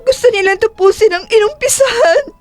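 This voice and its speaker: Casting: actress for frightened young daughter, extremely high register, tearful melodrama delivery. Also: female voice, normal speed. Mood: tearful, accusing. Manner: tearful, sobbing, pleading delivery. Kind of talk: Filipino audio drama acting.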